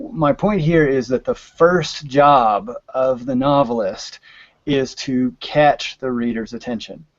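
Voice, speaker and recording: A man's voice: medium pitch, no echo. Male podcast guest, conversational writing teacher who talks in examples; close, dry sound with muffled fidelity. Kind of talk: conversational explanation of writing craft.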